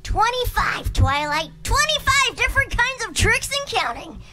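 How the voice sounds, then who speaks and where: A woman's voice: very high-pitched, sing-song, normal speed. A woman voicing an eager, cheeky young boy dragon sidekick in a cartoon script read, exclaiming boyishly. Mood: admiring. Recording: noisy live convention recording.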